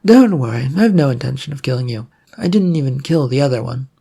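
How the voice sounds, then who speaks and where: A narrator playing a ruthless female gunslinger from an adventure audiobook. She is husky and creaky, brusque and bored, with dismissive sarcasm in her delivery. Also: mid-range voice, animated, brisk, mellow. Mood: bored, breezy.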